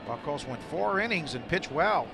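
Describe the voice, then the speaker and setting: A man's voice: fairly high pitch, lively intonation, normal speed, gravelly. A man doing live radio baseball play-by-play in short, clipped, descriptive phrases. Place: outdoor ballpark broadcast booth. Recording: loud stadium noise behind the voice.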